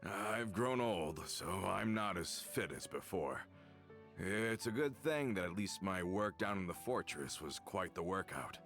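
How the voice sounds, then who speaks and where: A man's voice: low-pitched, lively intonation, a little slow, fairly bright tone, faint music behind, full character voice. An actor playing an older, loyal male worker in a game, earnest and nostalgic. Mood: wry. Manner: reminiscing, earnest. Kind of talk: game voice acting.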